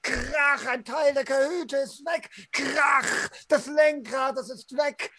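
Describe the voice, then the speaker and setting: A young man narrating a tabletop role-playing game as storyteller, with a theatrical, drawn-out, intense delivery into a close microphone. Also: very high-pitched, bright, forceful tone. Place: small room at home, online video call.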